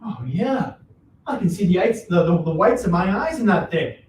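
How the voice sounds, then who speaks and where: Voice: mid-range voice, expressive, normal speed, a little mellow, lightly acted. An actor in a dramatic play reading as Joe, a cajoling, exasperated older father with a folksy, repetitive way of talking, recorded at a distance in a roomy space. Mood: scheming. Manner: wheedling, folksy, repeats himself. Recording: roomy, distant mic, faint noise.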